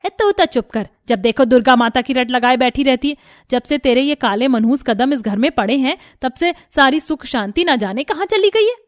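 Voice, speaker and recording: A woman's voice: high voice, expressive, moderate pace, no echo, studio quality. Woman putting on a scolding, bitter mother-in-law. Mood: angry, scolding. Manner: scolding, cutting. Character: harsh, taunting older mother-in-law, bitter and scornful.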